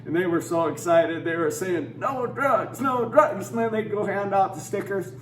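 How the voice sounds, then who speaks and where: Man, campaign speech, preachy and emphatic, somewhat high voice, echoey and far from the mic. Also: moderate pace, fairly bright tone. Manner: preachy, emphatic, religious conviction. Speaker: anti-legalization campaigner. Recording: roomy and distant with faint noise.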